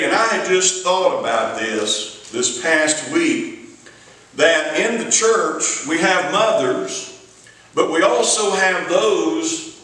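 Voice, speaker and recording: A man's voice: on the high side, fairly bright tone. A middle-aged man with a Southern US accent, an evangelist preaching an evening church sermon in a folksy storytelling way, stretching out his phrases, recorded in a small church sanctuary from a distance. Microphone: pulpit mic from a distant camera. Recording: echoey church room, mic at a distance.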